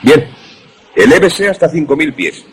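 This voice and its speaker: A man's voice: fairly high pitch, big pitch swings, a little slow, a crowd in the background. A man playing a stern, authoritative air force colonel in a suspense radio drama, speaking formally and in clipped commands. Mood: commanding.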